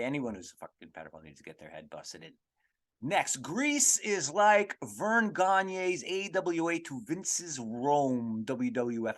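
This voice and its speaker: A man hosting a podcast in a casual, comedic monologue, rambling, repeating himself for emphasis and swearing freely as he answers questions. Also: fairly high pitch. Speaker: podcast host.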